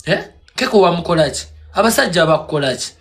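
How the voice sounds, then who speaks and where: A man's voice: fairly high pitch, very animated, fast talker, fairly bright tone. A man ranting on a live stream in a scolding, mocking, confrontational way. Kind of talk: heated rant on a live stream.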